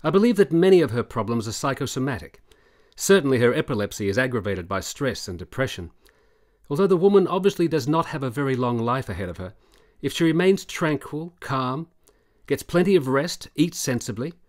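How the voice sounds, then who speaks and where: An actor playing an earnest, persuasive military doctor in a period radio drama, formal and deliberate. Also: male voice, medium pitch, animated, darkish tone, lightly acted. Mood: grave, confiding. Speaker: supporting actor.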